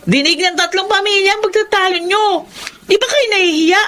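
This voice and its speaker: A woman's voice: very high-pitched, moderate pace, fairly bright tone, slight background noise. A woman playing an exasperated young Filipino daughter in a radio drama, scolding her parents.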